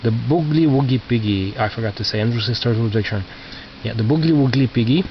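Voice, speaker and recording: A man who is not a native English speaker, casually talking through his collection as a record collector, halting and muttering as he reads out labels, on a cheap video camera microphone. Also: mid-range voice. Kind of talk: casual narrated show-and-tell of collection. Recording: muffled cheap video camera, loud noise.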